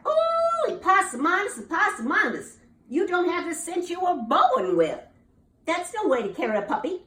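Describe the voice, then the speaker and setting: A woman voicing an exasperated, scolding mother opossum in a storybook, with drawn-out, dramatic emphasis and repeated admonishments. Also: very high-pitched, huge pitch swings, fairly bright tone, roomy sound, distant mic, voice pushed up, full character voice.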